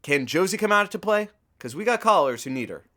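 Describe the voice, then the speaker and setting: A brisk radio producer, wry and bossy. Her voice is low but swings widely as she teases and pushes. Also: sing-song, a bit bright. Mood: pushy.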